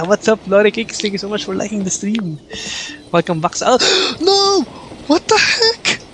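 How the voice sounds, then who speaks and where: A man with a Filipino accent giving live gameplay commentary, excitable and quick to shriek and laugh. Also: high voice. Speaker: game streamer. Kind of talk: live gameplay commentary mixing Tagalog and English.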